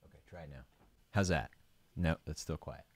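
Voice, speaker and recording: A man's voice: rumbling low, normal speed, a little mellow, breathy edge, no echo. A man hosting a casual live stream from a room at home, joking and chatting while he sets up the sound. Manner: playful, joking. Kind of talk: casual chat while setting up audio.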